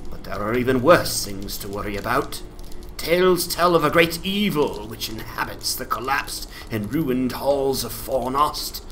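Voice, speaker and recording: A man's voice: mid-range voice, animated, measured pace, fairly bright tone, slight background noise, pitched below the performer's own voice, rough recording. A man playing a grave, injured ranger in a fantasy quest dramatization, speaking in a solemn, formal, dramatic way. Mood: urgent. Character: grave, injured male ranger giving orders in fantasy game.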